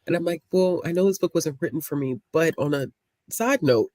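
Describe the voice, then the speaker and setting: A woman's voice: deep voice, brisk, mellow, no echo. A woman co-hosting a casual book discussion, talking in an emphatic, conversational way. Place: online group video call.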